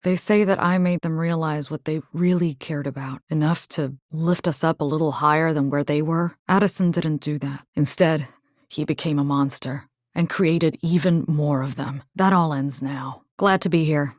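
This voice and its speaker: An actress voicing a tough, caring young military rescue engineer in a video game, salty, wry and resolute. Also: female voice, sits low, steady tone, normal speed, dry recording, studio quality, natural voice. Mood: reflective, then wry, bantering. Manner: salty, plain-spoken, wry. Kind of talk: scripted video game character voice lines.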